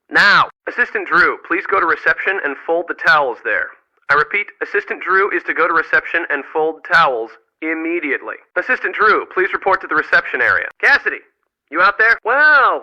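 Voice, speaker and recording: An actor playing a bossy, irritable and secretly crooked spa manager in a video game, curt and sarcastic. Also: male voice, a little high, sharp tone, dry recording, low-quality recording. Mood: impatient, barking. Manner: curt, sarcastic, barking orders.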